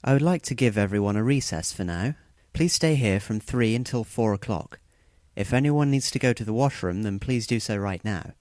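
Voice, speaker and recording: Man acting a low-voiced, polite teenage boy organizer. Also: animated, darkish tone, no room sound, clean studio sound, lightly acted. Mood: polite, formal. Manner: courteous, measured announcing. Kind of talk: anime-style audio drama acting.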